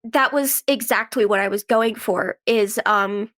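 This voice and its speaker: A woman's voice: medium pitch, a little slow, fairly bright tone. A woman speaking casually as an interview guest, in a conversational way peppered with "like". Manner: conversational, frequent "like". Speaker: guest, actress and writer.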